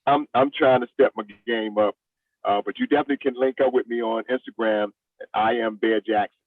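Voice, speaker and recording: A man's voice: medium pitch, fairly even intonation, a little fast, narrow, tinny audio. A man in his fifties, a veteran actor, chatting casually and plainly as an interview guest. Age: 50s. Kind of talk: casual chat.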